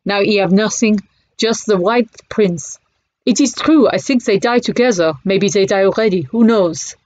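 A woman voicing a weary middle-aged French cafe owner, speaking in halting, broken English with a French accent. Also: medium pitch, darkish tone, no room sound, lightly acted. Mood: sorrowful. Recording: muffled lo-fi audio.

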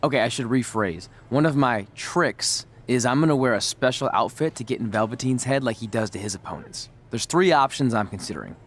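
A man's voice: mid-range voice, expressive, a little fast, hardly any pauses, slight background noise, natural voice. An actor playing an earnest young male wrestler, awkward and sheepish.

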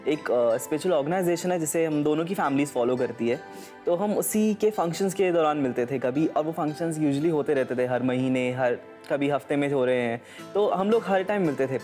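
Relaxed young man from Delhi. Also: a little high, fairly quick, soft background music. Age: young adult. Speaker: contestant. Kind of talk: casual storytelling. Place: indoor TV studio set.

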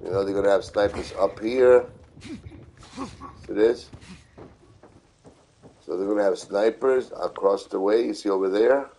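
A middle-aged man giving casual, unhurried live game commentary, repeating himself as he explains. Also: fairly low pitch, creaky, warm tone, a little hiss or hum. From New York City, United States. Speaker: video game streamer.

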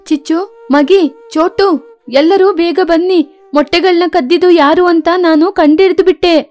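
A woman's voice: very high voice, fairly even intonation, a little slow, darkish tone, faint music behind. A woman voicing an anxious, tender mother sparrow in a children's cartoon, earnest and pleading. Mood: alarmed. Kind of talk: children's cartoon story acting.

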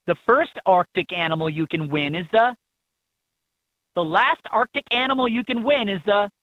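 A woman's voice: medium pitch, expressive, normal speed, no echo, natural voice. A woman voicing an encouraging instructor in a children's animal game, giving scripted instructions in slightly dull game audio. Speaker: educational game voice.

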